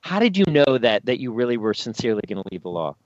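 A man's voice: medium pitch, sing-song, quick pace, dry recording. Guy hosting an interview, friendly and encouraging, dry close sound, little dull. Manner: upbeat, encouraging, lots of affirmations. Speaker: interview host. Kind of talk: hosted interview. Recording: dry, slightly muffled audio.

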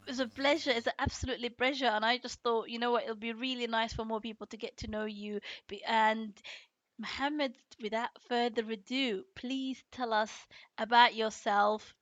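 A woman's voice: a little high, fairly even intonation, moderate pace, fairly bright tone, no room sound, highs cut off. A woman hosting a podcast, introducing her guest enthusiastically and repeating her phrases. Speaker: podcast host. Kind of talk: podcast interview, upbeat intro.

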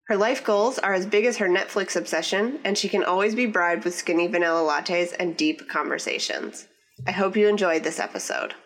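A woman's voice: mid-range voice, a little fast. Upbeat woman hosting a podcast. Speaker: podcast host. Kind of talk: scripted intro and interview questions.

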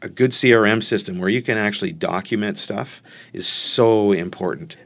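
Male interview guest, middle-aged, fairly low pitch, relaxed-format answers told as anecdotes. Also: normal speed, vocal fry. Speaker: interview guest, sales executive.

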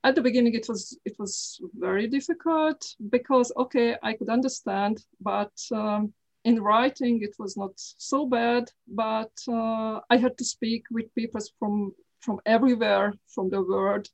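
A middle-aged woman from Hungary with a Hungarian accent, talking as an interview guest in careful, halting English with frequent restarts. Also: fairly high pitch, low-quality recording. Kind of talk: casual interview talk.

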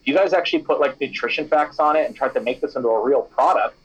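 Young male founder, casual and animated, mid pitch, a little muffled. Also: fast, husky. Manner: chatty and animated, many fillers like "you know" and "like". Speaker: snack company founder, former radio DJ. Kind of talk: casual interview answers.

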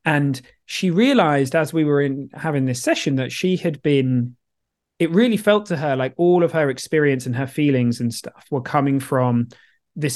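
A man's voice: fairly high pitch, darkish tone. A man co-hosting a podcast, a coach who talks in a rambling, chatty way. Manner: rambling, chatty, self-deprecating. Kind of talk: casual podcast chat.

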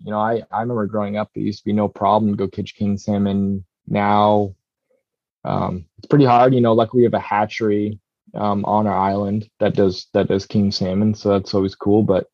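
A man's voice: on the low side, mellow, dry recording, muffled recording. A man from Southeast Alaska, a commercial fisherman chatting casually as a podcast guest, rambling with many ums and restarts.

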